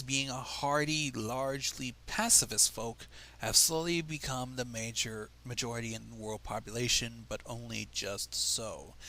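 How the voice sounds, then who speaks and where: A man's voice: medium pitch, pushed and bright, rough recording. A man reading a story aloud in a dramatic, wry manner, slipping into casual asides between narrated passages. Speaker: livestream fanfic reader.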